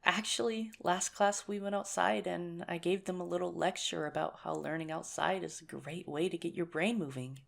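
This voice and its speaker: A woman acts out a nerdy young teacher who is shyly courting a crush. The voice is low for a woman, with plenty of fry. The delivery is earnest, hesitant and gently self-mocking, in an intimate ASMR romance roleplay. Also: fairly even intonation, moderate pace, hardly any pauses. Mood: tender, earnest.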